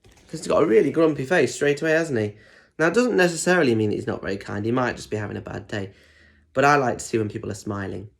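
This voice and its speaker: A man's voice: mid-range voice, fast talker, creaky. A man reads a picture book aloud for young children. He narrates expressively, then breaks off into chatty asides, asking simple questions about what the pictures show. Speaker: storyteller reading aloud to children.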